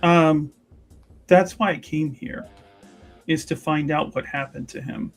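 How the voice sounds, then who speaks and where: A man plays a composed fantasy noblewoman in a tabletop roleplaying game, pitched a little high. The words come out measured and halting, diplomatic yet pointed. Faint music runs underneath. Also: vocal fry. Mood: earnest. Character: composed noblewoman confronting a rival mage, courteous but pointed. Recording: music behind the voice.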